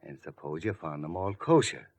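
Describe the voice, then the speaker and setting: An actor playing a quietly menacing, shady gangster in a 1940s radio mystery, with sly, slangy tough talk. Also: male voice, low-pitched, expressive, normal speed. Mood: menacing, persuasive. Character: shady gangster man, quietly menacing, offering murder money.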